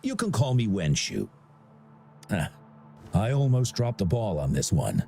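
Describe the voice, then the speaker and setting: A man's voice: lowish voice, measured pace, creaky, faint music behind, full character voice. An actor playing a genial, talkative older man who directs a sporting festival in a video game. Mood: friendly, chatty. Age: middle-aged. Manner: genial, chatty, expressive.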